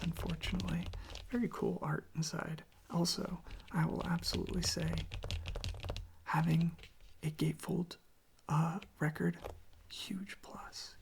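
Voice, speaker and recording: An ASMR creator speaking very softly, often in a whisper, in an unhurried, rambling casual chat with self-interruptions, close to the microphone. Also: medium pitch, vocal fry. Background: tapping and handling of records.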